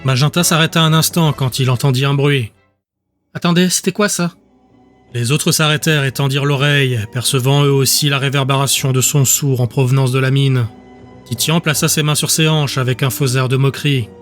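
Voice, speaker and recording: A man's voice: medium pitch, fairly even intonation. Man narrating a fantasy audiobook over music. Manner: measured, descriptive storytelling. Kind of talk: scripted fiction narration. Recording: music under the voice.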